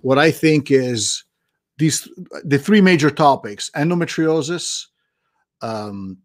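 A middle-aged man with an Italian accent, presenting on a webinar in a chatty, animated way with many fillers and restarts, speaking over a video call from a home office. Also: a little high, takes it slowly, no room sound, studio quality. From Italy. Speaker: guest doctor presenting a webinar. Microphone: video call with desk mic.

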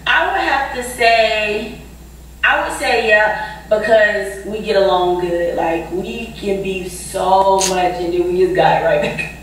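A woman talking casually and slowly in a laid-back way, laughing easily. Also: mid-range voice. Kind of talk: casual chat in a video. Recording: echoey room from far off with faint noise.

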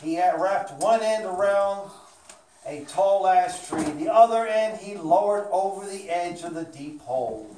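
A woman's voice: fairly low pitch, expressive, a little slow, dark tone. A woman narrating a children's puppet show story in an indoor room. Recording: echoey room, distant mic, faint noise.

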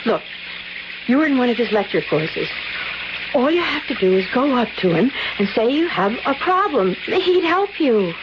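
Anxious, high-voiced young woman. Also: big pitch swings, moderate pace. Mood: earnest concern. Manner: earnest, worried. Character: anxious young woman, concerned girlfriend, gently pleading. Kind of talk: 1970s radio mystery acting. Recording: muffled noisy 1970s radio recording.

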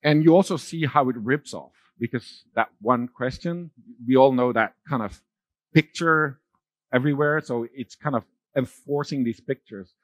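A middle-aged man giving a conference keynote on a large dark stage, talking loosely with fillers and restarts. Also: mid-range voice, moderate pace, no echo. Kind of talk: keynote talk with slides.

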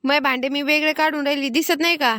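A woman's voice: sits high, fairly even intonation, fast talker, sharp tone, dry recording, studio quality. A woman voicing a fed-up, stubborn village daughter-in-law in a cartoon comedy, defiant and insistent, with a rural Maharashtrian accent. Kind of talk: animated family comedy acting.

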